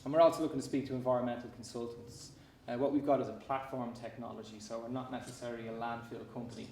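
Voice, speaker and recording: A man with an Irish accent giving a startup pitch, often restarting his sentences around "uh" and repeated words. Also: little pitch movement, fast talker, darkish tone. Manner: frequent fillers and repeated restarts. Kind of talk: pitch presentation. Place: conference hall. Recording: echoey hall, distant mic, faint noise.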